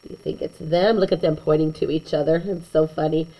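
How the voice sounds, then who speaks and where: A woman reading a children's picture book aloud in an expressive way, with chatty asides to young listeners. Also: sits low, muffled recording. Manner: animated, slow and deliberate storytelling, chatting to young listeners.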